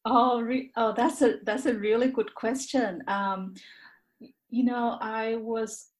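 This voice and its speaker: A woman's voice: fairly high pitch, fairly even intonation, slow. A woman speaking as an interview guest, reflective and candid, often repeating words and phrases as she searches for the right ones. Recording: roomy, echoey sound.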